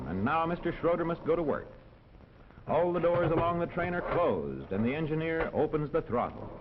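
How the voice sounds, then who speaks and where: A man narrating a 1940s educational film in a measured, instructional manner, on a muffled old soundtrack. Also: fairly high pitch, background noise. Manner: clear, measured, instructional delivery.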